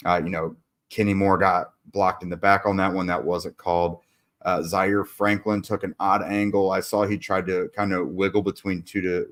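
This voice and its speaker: A man hosting a sports podcast, recapping a game in a casual way with lots of fillers and restarts while losing his voice. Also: low voice. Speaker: podcast host.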